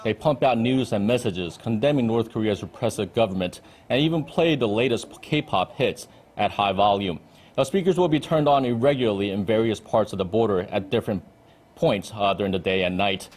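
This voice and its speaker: A man's voice: on the low side, brisk, a little mellow. A man in his thirties delivering a live news report as a correspondent from an indoor briefing room. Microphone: handheld-style reporter mic on desk.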